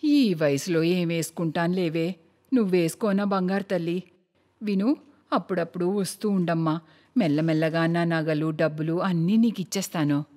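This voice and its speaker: A woman's voice: lowish voice, normal speed, a little mellow, big-room sound, lightly acted. A woman voicing a bitter elderly mother-in-law who dotes on her daughter in a cartoon moral story. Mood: doting.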